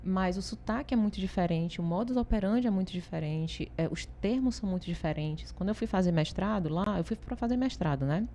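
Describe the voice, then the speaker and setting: A woman from Ceará, Brazil, speaking with a Northeastern Brazilian accent as an interview guest, telling stories in an animated way, laughing often and asking "né?" a lot. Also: medium pitch, fairly quick, few pauses, a little hiss or hum. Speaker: interview guest, consultancy founder. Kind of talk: casual interview talk.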